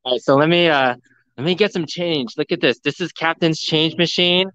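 A man livestreaming a walkthrough of a pinball showroom, excitedly talking to his viewers, recorded on a phone indoors. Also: on the high side, moderate pace, clear, resonant tone, dry recording, muffled recording. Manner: excited, enthusiastic, addressing viewers. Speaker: vlogger, live streamer. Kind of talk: live walkthrough commentary. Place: large indoor arcade showroom. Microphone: phone camera.

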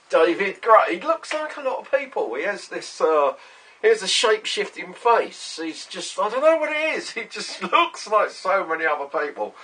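A middle-aged man with a husky voice, chatting casually and rambling in a workshop vlog, often sweary. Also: a little high, sharp tone. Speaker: vlogger, workshop owner. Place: small cluttered workshop, indoors.

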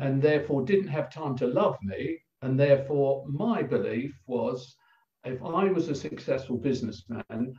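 An older man with an English accent, a guest telling a personal story over a video call in a halting, reflective way with many pauses. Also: medium pitch, warm tone. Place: plain room indoors. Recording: roomy, distant, dull video call audio.

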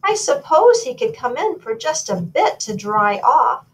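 A woman voicing a fussy, dramatic queen in a read-aloud storybook, with theatrical, drawn-out exclamations. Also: fairly high pitch, sing-song, far from the mic, slight background noise, voice pushed up. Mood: defensive.

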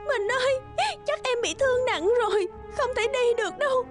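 Cartoon folk-tale wife: young, loving, sorrowful, extremely high tearful voice over clear music. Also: female voice, moderate pace. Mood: weak, sorrowful. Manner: tearful, plaintive. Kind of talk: cartoon fairy-tale acting. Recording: music under the voice.

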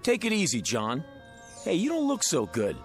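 An actor playing a smooth-talking male card dealer in a radio drama, slick and needling. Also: a little high, huge pitch swings, normal speed, faint music behind. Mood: sly.

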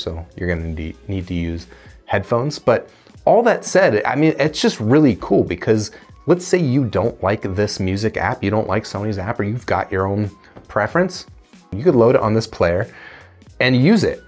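A man in his thirties talking casually to camera as a gadget reviewer, explaining things conversationally into a lapel mic. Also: medium pitch. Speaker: gadget reviewer, video host. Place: indoor studio room.

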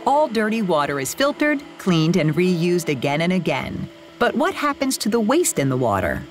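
Lively woman narrating a documentary. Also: lowish voice, normal speed, slight background noise. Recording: voice-over with music bed.

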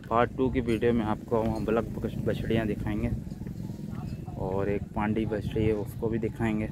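A Pakistani man presents farm animals in a casual, halting way, in short phrases. His voice is on the low side and very flat in pitch. It is recorded on a handheld device in an open yard, with clear noise and animal sounds behind him. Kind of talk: casual livestock showcase narration. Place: open tented cattle yard.

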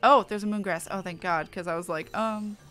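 A woman's voice: mid-range voice, brisk, fairly bright tone, soft background music. A woman streamer chatting casually and muttering to herself as she plays a game. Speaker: streamer playing an online fantasy game. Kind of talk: casual live gaming commentary.

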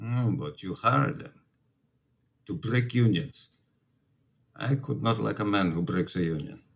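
An actor playing a Polish immigrant priest in a radio play, guarded and reproachful, with measured, formal phrasing. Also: male voice, lowish voice, expressive, vocal fry. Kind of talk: radio drama acting.

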